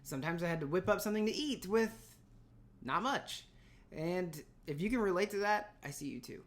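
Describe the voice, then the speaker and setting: A woman's voice: lowish voice, expressive, moderate pace. A woman teaching an informal scripted lesson for middle schoolers, chatty and playful with emphatic asides.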